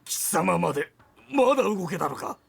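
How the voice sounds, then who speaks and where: A snarling alien god from a visual novel game, menacing and full of rage. The voice sits high, cracks with heavy gravel and swings wildly in pitch, with music underneath. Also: male voice, big pitch swings, fast talker, heavily acted. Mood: defiant, straining. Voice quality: raspy, gravelly. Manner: snarling, theatrical. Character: menacing enraged male alien god enemy with a scythe. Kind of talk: Japanese visual novel voice acting.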